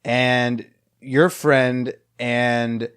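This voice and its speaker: Casting: man, mid-pitched voice, easygoing playful host. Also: fairly even intonation, drawn-out words, a bit bright, clean tone, dry recording, studio quality. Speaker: podcast host. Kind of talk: casual interview chat.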